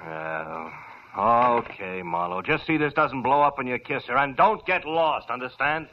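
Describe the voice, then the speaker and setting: A man playing a gruff, blunt homicide lieutenant in a 1940s radio drama. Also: mid-range voice, animated, measured pace, a loud crowd, lightly acted. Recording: dull old radio recording.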